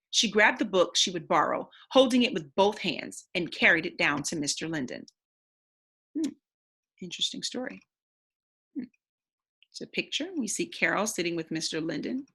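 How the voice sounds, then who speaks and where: A woman's voice: medium pitch, fairly bright tone, slightly rough. A woman reading a storybook aloud expressively, in the instructive, simple manner of a teacher with young listeners.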